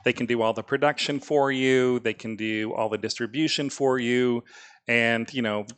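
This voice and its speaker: A man's voice: mid-range voice, steady tone, moderate pace, fairly bright tone, clear, resonant tone. A man, an author guest on a podcast, explaining audiobook production in a loose, chatty way. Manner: explanatory, many "you know" fillers. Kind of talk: casual podcast chat.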